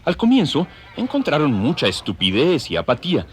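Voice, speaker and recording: A man's voice: fairly high pitch, huge pitch swings, brisk. A man narrating an audio drama in a scripted, dramatic storytelling style.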